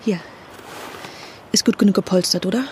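A young woman playing an excitable, loyal activist sidekick, speaking colloquially and eagerly in a naturalistic fiction podcast. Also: medium pitch, normal speed, natural voice. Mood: tense, hushed excitement. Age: young adult. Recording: clear background noise or music.

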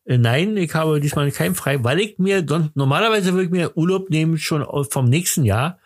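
Higher-voiced middle-aged Berlin man, rambling. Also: no room sound, studio quality. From Berlin, Germany. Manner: rambling, jokey, halting. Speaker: podcast host. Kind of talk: casual podcast chat.

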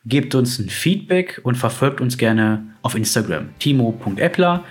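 A man's voice: mid-range voice, very animated, measured pace, faint music behind. A young adult man co-hosting a finance podcast, chatting casually and enthusiastically with slangy words and frequent restarts. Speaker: podcast co-host, financial advisor.

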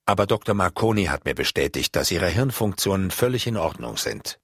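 Man voicing a soft, reassuring woman doctor. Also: deep voice, very animated, brisk, vocal fry, slightly rough, no room sound, clean studio sound, voice pushed up, full character voice. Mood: soothing.

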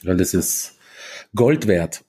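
A man from East Tyrol, a band musician, talking casually in an interview, relaxed and chatty with many fillers and restarts. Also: sits low, slow pace, a little hiss or hum. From East Tyrol, Austria.

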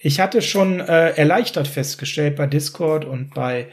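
A man's voice: a little high, a little slow. A middle-aged man hosting a sports podcast, chatty and direct, speaking to his listeners and often tagging "ne?". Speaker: podcast host. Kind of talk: casual sports podcast discussion.

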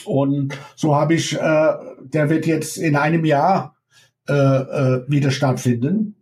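A middle-aged man from the United States with an American accent, a ballet teacher talking as an interview guest in halting German full of pauses and small laughs. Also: a little high, steady tone, a little mellow. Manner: halting German with many ums and frequent laughs. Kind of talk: casual interview over remote connection.

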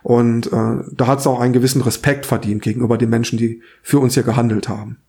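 Guy with a raspy cold voice doing an earnest political podcast, pretty emphatic. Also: medium pitch, level tone, fast, a little mellow. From Saarland, Germany. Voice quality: rough, hoarse. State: hoarse from a cold. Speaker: podcast co-host. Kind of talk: opinionated political podcast talk.

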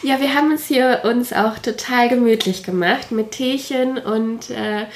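A woman's voice: a little high, a little slow. Enthusiastic female podcast host. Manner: enthusiastic, many fillers. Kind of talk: casual podcast interview. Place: cozy living room.

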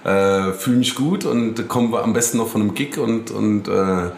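A man talking casually as an interview guest, relaxed and rambling, with slang and many fillers. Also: on the low side, measured pace, gravelly, roomy sound, a little hiss or hum.

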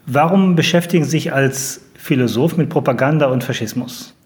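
Male interviewer with a medium-pitched voice. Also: moderate pace, a little mellow. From West Germany. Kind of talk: serious interview questioning.

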